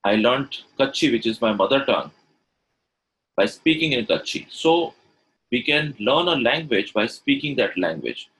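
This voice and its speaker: A man's voice: medium pitch, expressive, normal speed, fairly bright tone, low-quality recording. A middle-aged man with an Indian English accent, a native Gujarati speaker, teaching an online webinar over a video call and asking his audience rhetorical questions that he repeats. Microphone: video call microphone.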